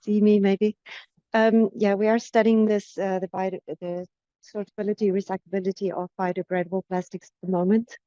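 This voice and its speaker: A woman's voice: medium pitch, flat delivery, a little fast, mellow, no echo, lo-fi sound. A woman joining an online workshop from an airport, brief and apologetic. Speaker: industry association representative.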